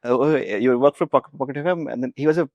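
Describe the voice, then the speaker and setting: A man's voice: mid-range voice, fast talker, a little mellow, no room sound, highs cut off. A young man with an Indian accent, a founder recounting anecdotes casually and often checking in with "right". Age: young adult. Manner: often ends phrases with "right". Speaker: startup founder, interview guest. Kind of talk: casual anecdotal interview talk.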